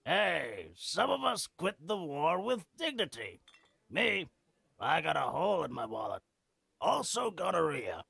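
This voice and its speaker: An actor playing a cheeky, scruffy old veteran freeloader in a video game, with a raspy, drawling, theatrical delivery. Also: male voice, a little high, huge pitch swings, measured pace, sharp tone, no echo, exaggerated voice. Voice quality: gravelly, raspy. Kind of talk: video game character acting.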